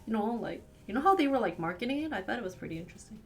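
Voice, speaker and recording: A woman with a Wisconsin accent from the United States, chatting casually with viewers as a live streamer and firing quick questions back at them. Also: fairly high pitch, very animated, soft background music. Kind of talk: casual chat answering viewers.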